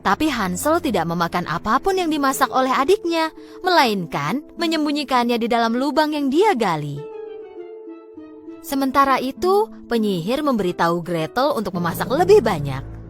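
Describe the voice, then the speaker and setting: Female storyteller for children's fairy tales: fairly high voice, very lively intonation, expressive scripted narration. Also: normal speed, soft background music. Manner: expressive, dramatic storytelling delivery.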